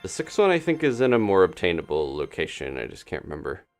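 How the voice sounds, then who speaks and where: Man, deep voice, creaky fry, casual gameplay commentary, musing to himself, music in the background. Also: wildly swooping pitch, quick pace. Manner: musing to himself, thinking aloud. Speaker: video game streamer.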